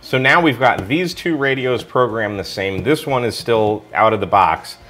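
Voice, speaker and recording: A man's voice: medium pitch, moderate pace, a bit bright. A man talking informally through a product review, explaining things step by step in a casual way.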